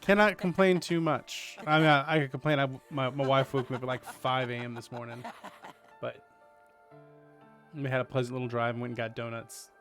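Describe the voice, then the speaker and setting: A man's voice: medium pitch, steady tone, fairly quick, fairly bright tone, faint music behind. A man doing casual livestream commentary with wry, joking asides. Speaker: streamer.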